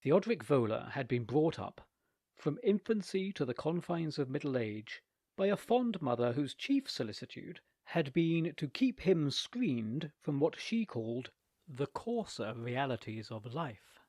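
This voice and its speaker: Expressive male storyteller, dry sound. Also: fairly high pitch, a little slow, no echo. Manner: expressive, theatrical. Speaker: reader. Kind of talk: scripted story narration.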